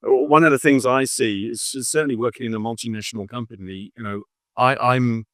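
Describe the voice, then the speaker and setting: A man talks through his points at an easy, conversational clip, leaning on "you know" as he explains. His voice sits at an ordinary middle pitch. The sound is dry and close. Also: steady tone, a little fast, no echo. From England. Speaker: corporate marketing director. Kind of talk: conversational interview at a trade show.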